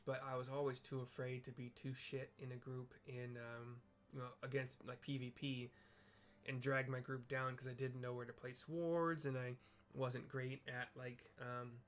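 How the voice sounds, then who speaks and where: A man talks casually over his gameplay at a home computer, wandering from point to point with plenty of fillers. His voice sits at a middle pitch and he pokes fun at himself as he goes. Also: fairly bright tone. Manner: rambling, filler-heavy, self-deprecating. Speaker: game streamer narrating for blind viewers. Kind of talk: casual gameplay chat and description.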